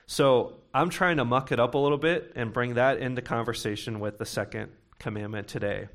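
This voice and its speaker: A young man in his late twenties or thirties preaching a sermon to his congregation, talking conversationally and slowing down to read scripture. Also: medium pitch, vocal fry. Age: young adult. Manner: conversational preaching with bursts of emphasis, reading scripture slowly. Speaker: pastor.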